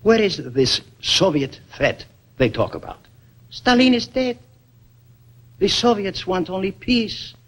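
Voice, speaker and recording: A man's voice: sits high, big pitch swings, slow pace, a bit bright, heavily acted. A man playing an earnest, indignant European communist sympathizer in a propaganda film, pleading rhetorically.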